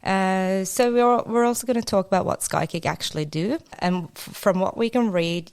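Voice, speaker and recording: Woman podcast host with a low voice. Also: a little mellow, clear, resonant tone. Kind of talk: podcast hosting.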